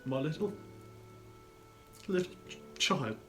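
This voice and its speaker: A man voicing a meek, kindly, grieving father in a dramatic storybook, halting and emotional, with music behind. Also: mid-range voice, expressive, voice pushed up, lightly acted. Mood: breaking down, anguished. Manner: halting, soft-hearted, emotional.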